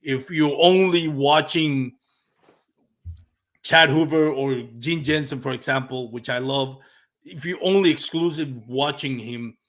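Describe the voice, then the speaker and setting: A man's voice: on the high side, measured pace, a bit bright. A man from Puerto Rico, a native Spanish speaker with a Puerto Rican accent, hosting a casual live podcast and talking reflectively, sometimes searching for words. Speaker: podcast host.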